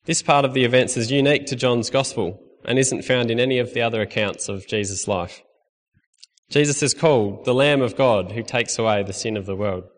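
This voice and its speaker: Young Australian man preaching in a hall. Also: mid-range voice. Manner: friendly, conversational preaching with light humor. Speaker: lay preacher. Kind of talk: sermon. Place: church hall.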